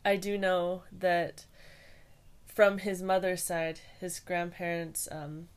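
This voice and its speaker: Young woman from hawaii on a podcast, kinda low voice, lots of ums and restarts. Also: flat delivery, slow, clear, resonant tone. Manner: hesitant, thoughtful, many fillers and restarts. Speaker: podcast guest. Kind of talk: casual interview answers.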